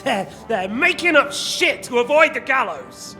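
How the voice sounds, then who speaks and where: An actor playing a smug, treacherous soldier in a video game, with a sly, mocking manner. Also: male voice, very high voice, very animated, unhurried, bright, forceful tone, soft background music, full character voice. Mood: indignant, defiant. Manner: sly, mocking, swinging between barking and silky. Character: smug, treacherous captured soldier serving a king. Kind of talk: video game cutscene acting.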